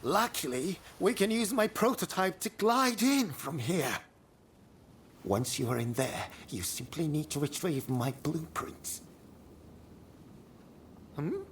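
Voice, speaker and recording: A man voicing an excitable, eccentric inventor in a video game, flustered and theatrical in his delivery. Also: on the high side, takes it slowly, long pauses, slight background noise, exaggerated voice. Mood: eager, instructing. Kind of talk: video game cutscene acting.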